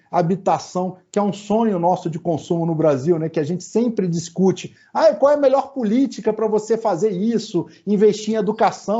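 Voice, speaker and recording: A man's voice: high-pitched, warm tone, lo-fi sound. A man with a Rio de Janeiro accent, a lawyer giving long flowing interview answers, often tagging on "né". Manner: flowing, explanatory, frequent "né". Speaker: lawyer guest.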